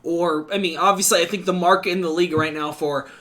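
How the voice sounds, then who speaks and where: High-voiced man talking esports. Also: steady tone, pushed and bright. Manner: quick, rambling, with restarts and swearing. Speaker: esports podcast host. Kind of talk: casual esports analysis chat.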